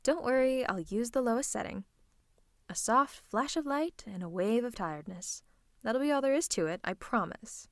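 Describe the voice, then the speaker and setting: A woman's voice: fairly high pitch, expressive, no echo, clean studio sound. A woman playing a teasing, theatrical supervillain who is fond of her nemesis, in an intimate ASMR roleplay. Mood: teasing, caring. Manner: coaxing, drawn-out endearments and monologues.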